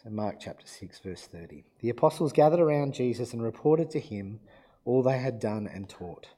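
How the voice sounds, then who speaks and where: A man preaching a sermon and reading scripture aloud, his voice croaky from being unwell, relaxed and self-deprecating. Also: medium pitch, normal speed. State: croaky from illness.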